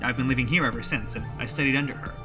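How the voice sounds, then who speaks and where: A man's voice: mid-range voice, fairly even intonation, racing, music in the background, close to an everyday voice. A man playing an earnest, flustered brother in a tabletop game, speaking hesitantly and stammering. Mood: earnest, apologetic.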